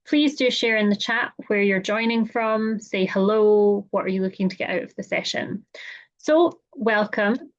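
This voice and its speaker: A woman's voice: medium pitch, normal speed. A woman with a Scottish accent hosts an online meeting. She talks through housekeeping in a chatty, welcoming way with frequent "um" fillers. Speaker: webinar host. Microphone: computer or headset mic on a video call. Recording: slightly dull video-call audio.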